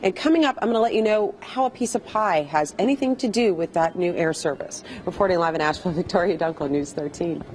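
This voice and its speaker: Fast-talking woman reporting live on location. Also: on the low side, a little mellow. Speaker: live television news reporter. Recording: faint background noise.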